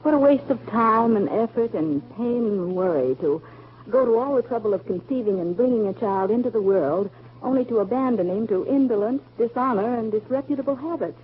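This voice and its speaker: An actress playing a thoughtful grown woman in 1940s American radio drama, reciting in a measured, poetic way. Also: fairly high pitch, lively intonation, close to an everyday voice. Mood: reproving. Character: thoughtful grown woman Hazel of a family saga. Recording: muffled old 1940s radio recording.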